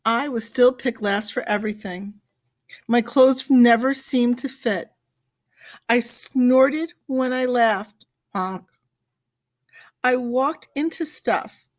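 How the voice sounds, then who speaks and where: Female storybook reader: unhurried delivery, very lively intonation, dramatic pauses, stretched key words for young listeners. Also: a little high. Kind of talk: expressive read-aloud of a picture book.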